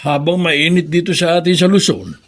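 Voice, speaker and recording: A man playing an old grandfather, gentle with family but stern as a father, in a Filipino radio drama, with a folksy, husky way of talking. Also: a little high, a little fast, lightly acted. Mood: chatty. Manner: folksy, sprinkles "eh".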